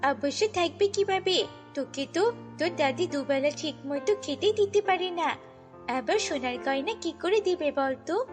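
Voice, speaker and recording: Snobbish cartoon rich kid played by a woman, very high, taunting and haughty, over background music. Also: expressive, normal speed. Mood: boastful, scornful. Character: boastful, snobbish rich little girl who mocks the poor. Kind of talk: children's fairy-tale cartoon voicing. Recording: long reverb, music bed.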